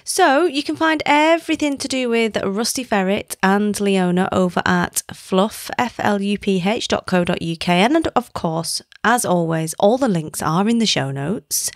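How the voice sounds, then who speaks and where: A woman's voice: medium pitch, a little slow, no room sound, studio quality. A woman in her thirties hosting a podcast, chatty and playful in casual conversation. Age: 30s. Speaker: podcast host. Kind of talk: casual chat.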